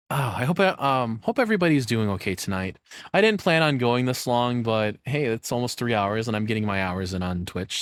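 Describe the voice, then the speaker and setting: A man chatting casually as a livestreamer, rambling and excitable, with informal speech and mild swearing. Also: lowish voice, no room sound, clean studio sound. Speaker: livestreamer and audio roleplay voice actor.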